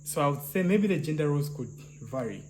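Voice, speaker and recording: A man with a soft, slightly high voice, thinking aloud in casual discussion with many restarts and "yeah?" tags. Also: lively intonation, quick pace. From Uganda. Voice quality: soft, somewhat high-pitched voice. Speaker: clinical psychologist, co-host. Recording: noisy, crickets and hum.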